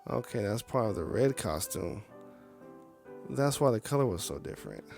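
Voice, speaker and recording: A man's voice: fairly low pitch, sing-song, moderate pace, gravelly, darkish tone. Casual male streamer narrating a game. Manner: casual, reactive. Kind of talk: live let's play, reading story aloud with reactions. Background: music.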